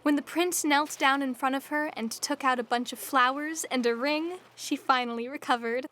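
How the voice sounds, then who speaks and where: High-voiced woman narrating a fairy tale. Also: fairly even intonation, moderate pace, fairly bright tone. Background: music.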